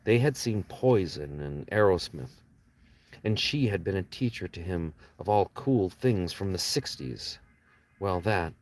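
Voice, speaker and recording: A man's voice: low-pitched, darkish tone. A man, an author reading his own novel aloud as an audiobook narration, with casual asides to listeners.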